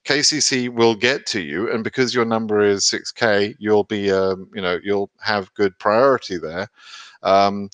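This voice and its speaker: A man's voice: fairly low pitch, steady tone, unhurried, fairly bright tone, no room sound. A British man hosting a live question and answer stream, reading viewer questions aloud and answering them casually and frankly, with many ums and restarts. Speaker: immigration advice host answering live chat questions.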